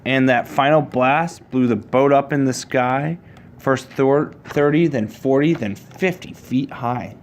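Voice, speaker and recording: A man reading a children's picture book aloud to his child, with a measured, rhyming storybook delivery. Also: mid-range voice.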